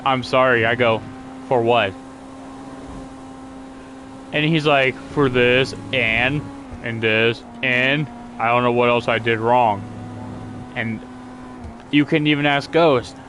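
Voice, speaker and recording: A man's voice: mid-range voice, fairly even intonation, slow, pauses often, noisy background. Aggrieved young man venting. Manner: aggrieved, repetitive storytelling. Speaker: channel host. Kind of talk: casual complaint chat.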